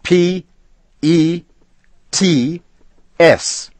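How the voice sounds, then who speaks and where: Man putting on a furious blustering gentleman. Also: a little high, extremely slow, dry recording, pitched above the performer's own voice. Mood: outraged. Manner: blustering, spelling things out angrily. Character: furious indignant gentleman customer, shouting.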